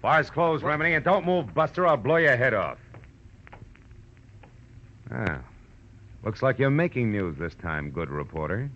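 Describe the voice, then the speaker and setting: A tough American private eye in a muffled vintage radio thriller. His medium-pitched voice carries sardonic, hard-boiled threat. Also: lively intonation, a little slow, pauses often, fairly bright tone. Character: tough male private detective confronting a crook. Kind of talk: old-time radio crime acting. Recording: muffled old-time radio recording.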